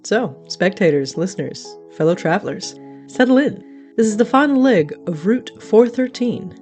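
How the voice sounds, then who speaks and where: A woman's voice: medium pitch, expressive, normal speed, darkish tone, soft background music, close to an everyday voice. A woman playing a wry, grandiose otherworldly radio host, narrating an audio drama in an arch, florid, dramatic way. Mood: theatrical, solemn.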